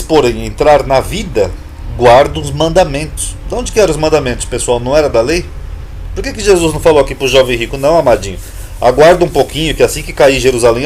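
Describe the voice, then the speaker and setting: A man at a middle pitch talks through scripture in an argumentative, questioning way, pausing to read verses slowly and deliberately. A faint hiss of background noise sits under his voice. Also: darkish tone. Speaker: Bible teacher on his own channel. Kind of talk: casual Bible study talk to camera.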